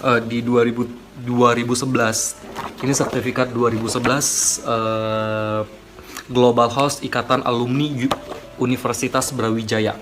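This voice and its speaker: A man's voice: mid-range voice, level tone, a little slow, noisy background. A young man from Aceh talking casually to his viewers, rambling with many fillers and self-corrections, giving encouragement. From Aceh, Indonesia. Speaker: vlogger. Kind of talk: casual talk to camera.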